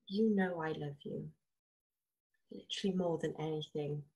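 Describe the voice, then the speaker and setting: An actress playing a weary, strained adult daughter in a radio play, hesitant and guarded with her mother. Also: on the low side. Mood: strained, pleading.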